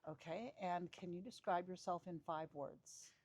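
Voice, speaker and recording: A woman's voice: fairly low pitch, moderate pace, a little mellow, no echo. A woman interviewing a neighbor, asking short questions and giving brief, encouraging acknowledgments.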